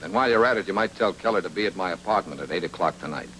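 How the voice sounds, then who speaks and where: Casting: tough noir private eye, low but lively delivery, terse sardonic slang, radio drama. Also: male voice, rapid-fire, slight background noise, low-quality recording. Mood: cool, sardonic. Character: wry, tough hardboiled private investigator.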